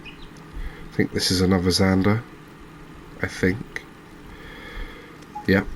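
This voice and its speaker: A man with a low-pitched voice narrates his gameplay in a soft ASMR style. His delivery stays very even, musing along in an unhurried, relaxed way with pauses. Faint ambient noise runs behind him. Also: low-quality recording. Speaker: gaming video host. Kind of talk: soft-spoken ASMR game commentary.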